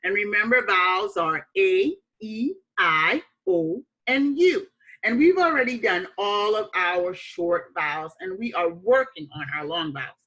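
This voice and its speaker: Female primary teacher: bright tone, unhurried pace, simple repeated phrasing, question-and-answer phonics style. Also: medium pitch, animated, poor audio. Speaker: elementary school teacher. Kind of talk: remote phonics lesson for young children.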